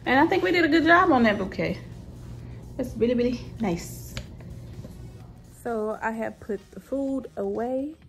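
A woman's voice: fairly high pitch, animated, measured pace, creaky, long pauses, faint music behind. A woman in her thirties chatting informally in a casual home vlog, recorded indoors on a handheld phone. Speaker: vlogger.

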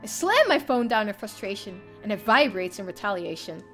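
A young woman streamer reading a visual novel aloud in the first person, expressive and theatrical. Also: medium pitch, brisk. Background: game music.

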